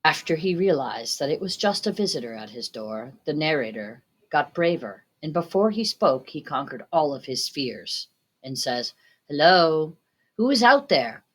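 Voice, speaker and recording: A woman's voice: deep voice, big pitch swings, moderate pace. A woman narrating a story from a script, reading it aloud. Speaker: student reader.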